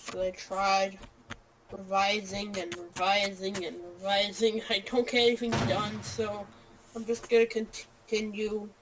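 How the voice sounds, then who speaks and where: A woman's voice: mid-range voice, little pitch movement, a little slow, low-quality recording. A woman chatting casually over a voice call, talking in a hesitant, rambling way. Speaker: friend chatting with the player over voice chat. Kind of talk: casual chat. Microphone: voice chat call.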